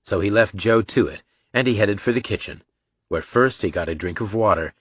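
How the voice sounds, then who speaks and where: A man's voice: fairly low pitch, moderate pace, a bit bright, breathy edge. A man narrating a thriller audiobook in a scripted, dramatic storytelling style.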